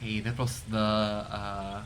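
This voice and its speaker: A man giving casual gameplay commentary, chatty and curious, thinking out loud. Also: on the low side, flat delivery, takes it slowly, noisy background. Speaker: let's play streamer.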